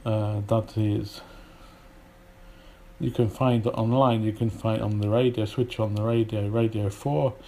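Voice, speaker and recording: A man's voice: lowish voice, little pitch movement, a little slow, creaky, dark tone, a little hiss or hum. A man from Britain whose British English accent carries some Polish influence, teaching an informal English lesson in a rambling, hesitant way with many fillers and restarts.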